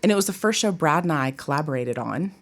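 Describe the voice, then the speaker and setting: A woman's voice: low-pitched, expressive, fast talker. A middle-aged woman from Tennessee chatting casually and expressively as an interview guest, with informal asides. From Tennessee, United States. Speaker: guest, theater professor. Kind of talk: casual chat.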